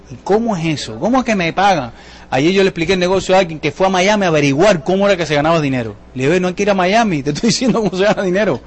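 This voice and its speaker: A high-voiced man with a Cuban accent presents a business seminar. He talks in an emphatic, folksy way, repeating key points and throwing rhetorical questions at his audience. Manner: emphatic, colloquial, repetitive, full of rhetorical questions. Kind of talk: motivational sales talk to an audience.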